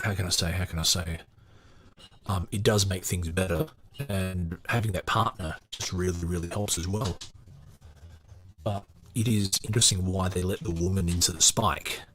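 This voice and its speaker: A man's voice: sits low, steady tone, a little slow, long pauses, a bit bright, a little hiss or hum. A man hosting a podcast, reading a book aloud and giving casual commentary on it. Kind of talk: audiobook narration and casual commentary.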